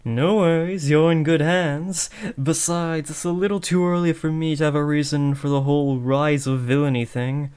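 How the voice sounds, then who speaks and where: A man's voice: a little high, fairly even intonation, normal speed, few pauses, a little mellow, clean tone, natural voice. A man playing an awkward teenage trainee supervillain. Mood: awkwardly reassuring. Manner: hesitant, awkward, self-correcting. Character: awkward, flustered teenage boy trainee supervillain, earnest and harmless. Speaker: audio roleplay performer. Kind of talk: comedic roleplay voice acting addressed to the listener.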